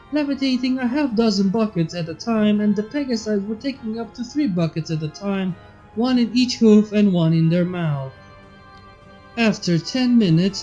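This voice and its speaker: A woman's voice: mid-range voice, warm tone. A woman reading fan fiction aloud as a scripted story narrator. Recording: slightly muffled, faint music behind. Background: background music.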